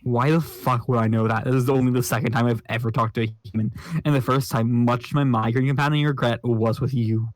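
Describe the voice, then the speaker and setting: A man's voice: medium pitch, fairly even intonation, fairly quick, hardly any pauses, no echo, close to an everyday voice. A man voicing an abrasive, foul-mouthed young male troll who rants pompous insults, reading a comic aloud. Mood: scornful. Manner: insulting, ranting, pompous wordplay. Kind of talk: comic read-along voice acting.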